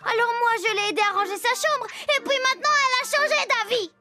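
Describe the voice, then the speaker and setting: A woman playing a little girl who tells animal stories in a children's cartoon, expressive and childlike. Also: extremely high pitch, fast. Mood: sulky. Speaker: storyteller. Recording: voice over children's music.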